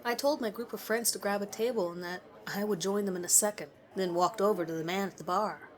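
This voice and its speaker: A woman narrates a scripted story in the first person, reading it aloud as the storyteller. Her voice sits at an average pitch for a woman. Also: brisk, a faint crowd, poor audio.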